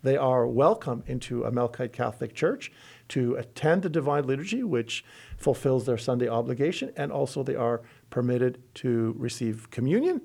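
Gravelly-voiced middle-aged bishop. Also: male voice, medium pitch, expressive, normal speed, darkish tone. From Lebanon. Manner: earnest, teaching, with frequent restarts. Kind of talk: explanatory interview talk.

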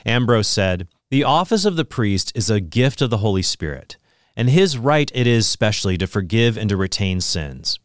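Male presenter, lowish smooth voice, measured scripted teaching read, dry close mic. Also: no echo. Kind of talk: scripted explanatory talk.

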